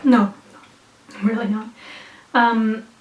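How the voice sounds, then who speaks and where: A young Swedish-accented woman with a fairly high voice, talking in a hyper, rambling way full of "like" and "um" and laughing at herself. She sits a little back from the mic in an echoey room, so her voice sounds roomy and slightly distant. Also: level tone, takes it slowly, muffled recording. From Sweden. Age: young adult. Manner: hyper, self-mocking, many fillers and restarts. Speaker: vlogger reading her own poetry. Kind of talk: rambling casual chat and slow poetry reading.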